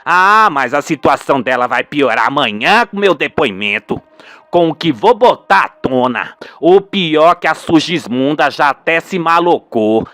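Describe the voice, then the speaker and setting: A man's voice: a little high, animated, a little slow, sharp tone, dry recording, voice pushed down. A man voicing an older rural father, indignant and vengeful, with folksy, emphatic speech. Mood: scornful, determined.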